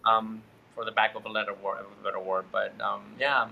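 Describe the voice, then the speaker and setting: A man's voice: lowish voice, level tone, a little fast, vocal fry, phone-quality sound, a little hiss or hum. A man in his thirties from Chile, a guest in a casual spiritual conversation who rambles and searches for his words. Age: 30s.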